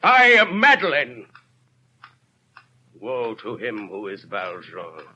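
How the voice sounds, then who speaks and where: An actor playing a tormented middle-aged mayor in a 1930s radio drama, speaking in an anguished, brooding manner. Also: male voice, mid-range voice, wildly swooping pitch, takes it slowly, bright, forceful tone, husky, exaggerated voice. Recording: dull 1930s radio broadcast.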